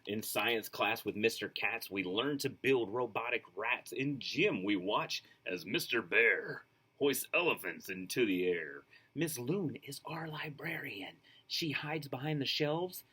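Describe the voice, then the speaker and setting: A man reading a children's storybook aloud, expressive and rhythmic, with casual asides. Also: medium pitch, a little slow, husky. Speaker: storybook reader.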